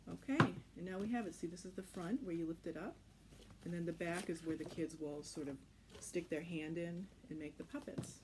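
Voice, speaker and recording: A woman's voice: fairly low pitch, steady tone, brisk. A woman instructor casually explaining a children's craft step by step in an informal, reassuring way. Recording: clearly noisy home recording.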